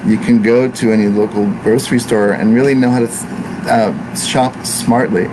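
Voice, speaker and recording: An American-born man whose first language is Italian, teaching Ayurveda in an unhurried, reflective way with many fillers and restarts. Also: medium pitch, fairly even intonation. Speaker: Ayurveda practitioner. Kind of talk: informal teaching talk. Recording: noisy room with air conditioning hum.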